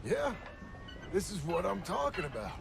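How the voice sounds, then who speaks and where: An actor playing a rough, restless younger outlaw in a video game, with a gravelly voice and a rural American drawl. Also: male voice, sits high, huge pitch swings, moderate pace, background noise, heavily acted. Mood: gruff, businesslike. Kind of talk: dramatic video game cutscene acting.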